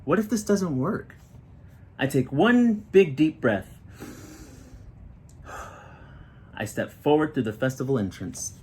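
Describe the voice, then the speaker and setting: A man reading a children's picture book aloud in an expressive storytelling style, with short explanatory asides. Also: fairly high pitch, takes it slowly, pauses often, a little hiss or hum. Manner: expressive, acting out children's dialogue.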